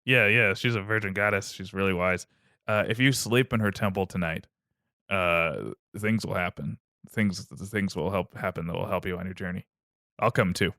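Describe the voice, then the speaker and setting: A man's voice: deep voice, fairly even intonation, moderate pace, sharp tone, no room sound, studio quality. A man voicing a lecherous blind old male seer who is pushy and rambling.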